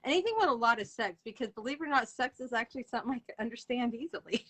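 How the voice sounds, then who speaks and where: A woman's voice: on the high side, expressive, racing, a bit bright. A woman hosting a casual, informal live interview, chatting freely and often laughing as she talks. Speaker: interview host.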